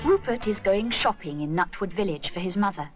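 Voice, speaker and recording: A woman's voice: medium pitch, expressive, brisk, highs cut off, loud music behind the voice. A woman reading a scripted children's story expressively.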